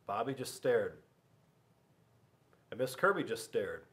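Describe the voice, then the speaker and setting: A middle-aged man reading a children's story aloud in a classroom, expressively, with dramatic pauses and questions to young listeners. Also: mid-range voice, sing-song, fairly bright tone. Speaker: school resource officer reading to children. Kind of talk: animated storybook read-aloud. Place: small school classroom, indoors.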